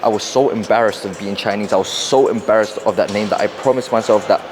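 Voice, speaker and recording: Young london guy rattling off a motivational vlog at the gym, quick and repetitive, loud room noise behind. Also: lowish voice. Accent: London English. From South London, England. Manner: fast, rambling, repeats himself. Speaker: fitness and mindset coach. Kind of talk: casual motivational vlog to camera. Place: busy gym. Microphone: clip-on mic.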